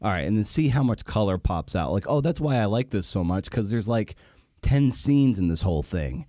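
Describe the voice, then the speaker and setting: Mellow, low-voiced man chatting casually. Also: sing-song, normal speed, dry recording, studio quality. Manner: rambling, thinking aloud, repeats words for emphasis. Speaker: podcast host. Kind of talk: casual conversational interview.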